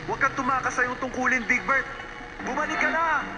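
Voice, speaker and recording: A man's voice: very high-pitched, steady tone, fairly quick. A man voicing a commanding young team leader in a dubbed anime, urgent and dramatic. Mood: urgent, pleading. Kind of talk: Tagalog-dubbed anime acting. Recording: distant radio reception, loud music underneath.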